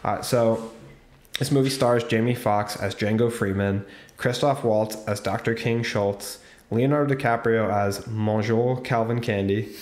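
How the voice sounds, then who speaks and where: Guy cohosting a movie podcast, kinda low voice, chatty, echoey room. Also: fairly even intonation, measured pace, darkish tone. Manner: chatty, enthusiastic, lists cast members. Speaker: podcast co-host. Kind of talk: casual movie review chat.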